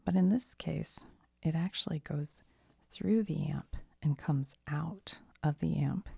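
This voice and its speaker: Soft asmr lady, super breathy n whispery, mellow tone, casual halting rambly explaining. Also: low-pitched, no echo, studio quality. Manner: conversational, halting, self-deprecating. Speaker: ASMR video host.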